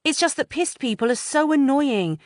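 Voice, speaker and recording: A woman's voice: high voice, animated, moderate pace, no echo, clean studio sound, pitched above the performer's own voice. A woman voicing a shy, anxious teenage girl in a storybook reading. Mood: fretful. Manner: nervous, fretting.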